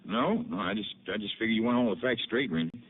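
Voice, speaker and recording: A man's voice: fairly low pitch, expressive, fast talker, husky. An actor playing a nervous, evasive male rancher with a hesitant, defensive country drawl in a 1950s radio drama. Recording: tinny lo-fi old radio broadcast with faint hiss.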